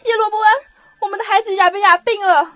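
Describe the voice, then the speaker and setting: A woman's voice: very high-pitched, steady tone, fast talker, fairly bright tone, dry recording, muffled recording. An actress playing a distraught, pleading queen and worried mother with emotional radio drama delivery. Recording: music behind the voice.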